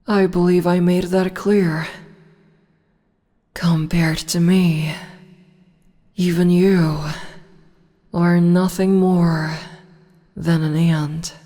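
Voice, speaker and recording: A woman voicing a commanding, teasing demon empress in an ASMR audio roleplay, speaking in slow, low whispers. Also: mellow, long reverb tail. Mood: condescending. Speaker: voice actress.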